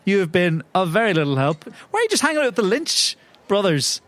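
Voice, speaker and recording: A man with a noticeably high voice plays in a tabletop roleplay podcast. He improvises conversational, in-character dialogue. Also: big pitch swings, quick pace, a bit bright, a little hiss or hum.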